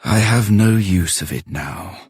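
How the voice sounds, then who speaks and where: A man's voice: low-pitched, darkish tone, husky, no room sound, studio quality, heavily acted. A man playing a solemn, courtly craftsman who muses in formal archaic English in a fantasy game. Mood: solemn, musing. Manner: measured, courtly, musing. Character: solemn archaic-spoken male sculptor guarding an old sword.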